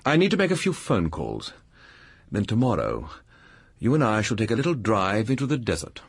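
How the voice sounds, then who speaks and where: An actor playing an urbane, witty, middle-aged English playwright in a radio drama, speaking in a suave, clipped, dryly ironic way. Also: male voice, lowish voice, very animated, moderate pace, gravelly, slightly rough, no room sound, clean studio sound, exaggerated voice. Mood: sly, composed.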